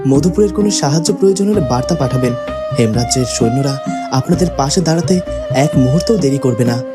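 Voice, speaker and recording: Loud music surrounds a man acting a protective fairytale nobleman in a cartoon. His medium-pitched voice is formal and courteous. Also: lively intonation, quick pace, close to an everyday voice. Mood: resolute. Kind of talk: Bengali fairytale cartoon dubbing.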